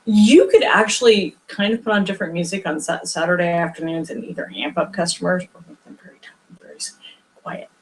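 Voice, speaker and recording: Animated woman, loud projecting voice. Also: on the low side, normal speed, a little hiss or hum, poor audio. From former record store worker. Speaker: podcast co-host. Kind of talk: casual movie review chat.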